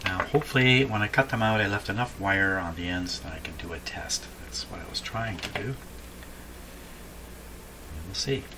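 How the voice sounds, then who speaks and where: Low-voiced man musing aloud, noisy recording. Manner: unhurried, musing, with dry humor. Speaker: host of a radio repair video. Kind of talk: casual hands-on demonstration, thinking aloud. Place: indoor home repair workshop.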